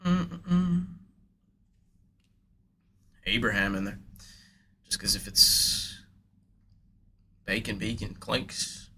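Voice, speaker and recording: A man's voice: on the low side, expressive, extremely slow, long pauses, pushed and bright, slightly rough. A young man hosting a live sports card break, chatting casually and hyped with slang, speaking straight to his viewers in a slightly nasal voice.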